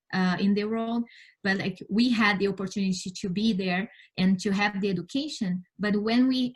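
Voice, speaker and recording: A woman from Brazil, a native Portuguese speaker with a Brazilian accent, answering interview questions as a scientist in an earnest, halting way. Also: medium pitch, poor audio. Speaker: interview guest, space scientist and mentor.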